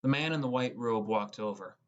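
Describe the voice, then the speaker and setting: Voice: mid-range voice, a little fast, dull audio. An audiobook narrator reading a scripted first-person fantasy story.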